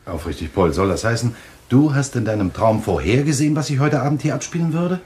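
An actor playing a practical, gently admonishing gentleman host in a German radio thriller, with a measured, firm delivery. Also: medium pitch, animated, close to an everyday voice. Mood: sociable. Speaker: actor as a host of a dinner evening. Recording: slight background noise with clicks.

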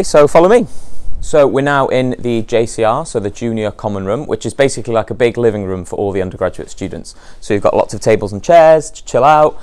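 A man's voice: mid-range voice, no room sound. Chatty young man giving a tour. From North Wales. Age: young adult. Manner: upbeat, chatty, many ums and likes. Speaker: college outreach officer. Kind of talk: casual walking campus tour. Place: college campus, outdoors and indoor halls. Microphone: lapel mic.

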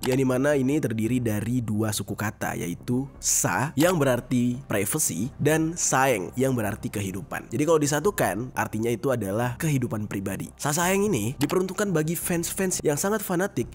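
A man hosting his own channel, telling stories in a chatty, slangy way, his voice hoarse because he is feeling unwell. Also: mid-range voice, darkish tone, a little hiss or hum. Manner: slangy, chatty, addresses listeners as "geng". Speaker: host. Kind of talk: casual storytelling.

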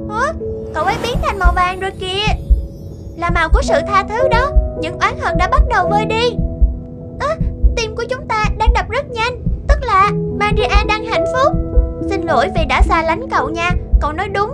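A woman voicing fretful little cartoon teardrop creatures in dubbing, exclamatory and quick to judge. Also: very high voice, expressive, loud music behind the voice. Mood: excited, relieved. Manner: exclamatory, dramatic.

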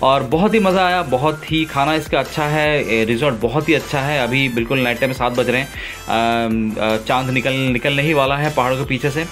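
A man narrating his own travel vlog in a relaxed, enthusiastic way, pausing often with fillers, filmed on a phone outdoors on a river boat. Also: medium pitch, soft background music. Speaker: travel vlogger.

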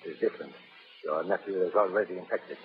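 An actor playing a callous, cold scientist villain in a 1930s radio drama, clipped and formal. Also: male voice, low-pitched, quick pace, natural voice. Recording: muffled old radio broadcast.

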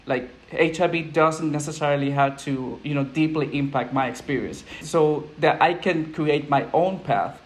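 Young puerto rican guy on a video call, spanish-accented english, earnest and thoughtful, fairly high voice. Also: normal speed, hardly any pauses. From Puerto Rico. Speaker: program manager, panel guest. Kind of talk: panel discussion on video call. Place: indoors at home, plain wall. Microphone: earphone headset on video call.

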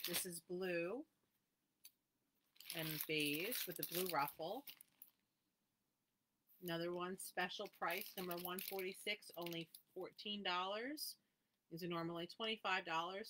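A woman's voice: fairly low pitch, a bit bright, slight background noise, rough recording. A woman hosting a live needlework sale, reading out item numbers and prices and chatting with viewers by name. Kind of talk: live sales presentation, casual chat.

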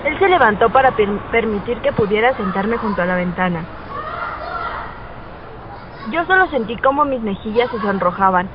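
A woman's voice: on the high side, lively intonation, normal speed, long pauses, muffled recording, noisy background, lightly acted. A woman playing a teenage schoolgirl who narrates her own story in a radio drama. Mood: calm, storytelling. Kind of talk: first-person radio drama narration and dialogue.

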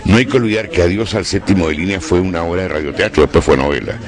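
Male interviewee, seventies, Chilean accent, deep voice, crowd behind. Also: fast talker. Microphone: handheld interview microphone.

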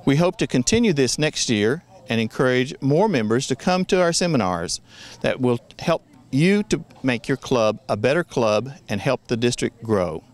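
A man's voice: medium pitch, lively intonation. A man in his sixties, a district governor, giving an earnest prepared address outdoors in the woods on a handheld mic. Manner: earnest, measured, with small restarts. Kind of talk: prepared address to club members. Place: outdoors in a wooded forest. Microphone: handheld mic held near the chin.

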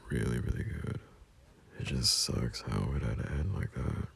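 A man playing a gentle, teasing young boyfriend in an intimate ASMR roleplay, speaking softly and breathily, often half-whispering in a hesitant, soothing way. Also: rumbling low, normal speed, vocal fry, breathy edge, full character voice. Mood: soft, relaxed. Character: gentle, teasing young boyfriend comforting his scared partner. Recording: faint background hiss.

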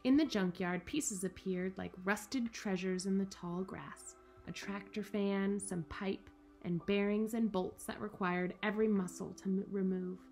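A woman in her thirties reads and chats to children in an animated, encouraging way, as a picture-book storyteller. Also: medium pitch, measured pace, few pauses, faint music behind. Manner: animated, encouraging, wide-eyed emphasis. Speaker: children's story reader, camp director. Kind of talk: expressive picture-book read-aloud and chat to children. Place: small indoor playroom.

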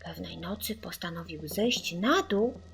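A woman's voice: on the low side, lively intonation, a little slow, creaky, husky, faint music behind. A woman reading a children's audiobook in a theatrical, suspenseful narrating style. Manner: theatrical, suspenseful, dropping into whispers. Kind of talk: dramatic scripted narration of a children's spooky story.

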